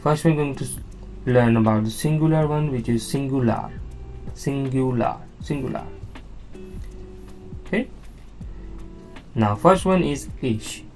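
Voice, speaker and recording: Slow, patient man teaching beginners. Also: mid-range voice, darkish tone, soft background music. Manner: patient, repeats words, frequent "now" and "okay?". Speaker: language teacher. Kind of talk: slow beginner lesson explaining on-screen slides.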